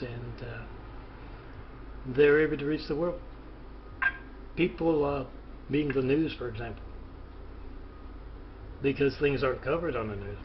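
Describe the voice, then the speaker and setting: A man rambling haltingly through a phone interview, with hesitant pauses and a muffled, noisy recording. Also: mid-range voice. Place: phone call.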